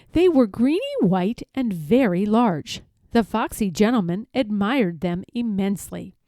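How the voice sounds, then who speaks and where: A woman narrating a children's story aloud as an expressive storyteller, reading from scripted text. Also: a little high, no room sound, clean studio sound.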